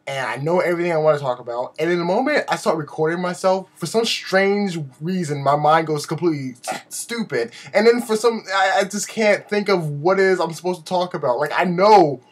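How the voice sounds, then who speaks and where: Male vlogger, fairly high voice with a slight sore-throat rasp; animated, rambling, self-deprecating, casual delivery to camera. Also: brisk. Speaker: video blogger. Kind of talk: casual update vlog to camera.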